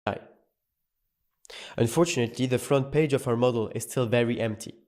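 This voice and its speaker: Man, scripted course narration, even explanatory delivery. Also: mid-range voice. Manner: measured, explanatory.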